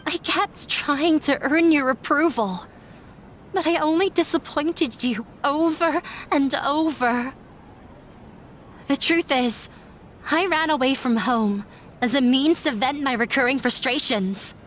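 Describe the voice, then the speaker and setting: An actress playing an earnest runaway daughter standing up to her father in a game dub, with formal, measured delivery. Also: high-pitched, fairly bright tone, a little hiss or hum. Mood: confessional. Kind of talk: dramatic game dialogue. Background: background music.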